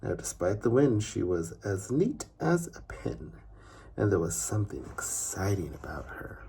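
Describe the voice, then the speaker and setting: Slow, very husky male storyteller. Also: lowish voice, sing-song, dark tone. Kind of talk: scripted children's story narration.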